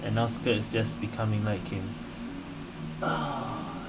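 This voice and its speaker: A man's voice: fairly low pitch, slow pace, over loud music. A man who streams games, giving casual reaction commentary and musing aloud over the dialogue. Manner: echoes and muses on game dialogue. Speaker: gaming streamer. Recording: noisy room, game audio behind.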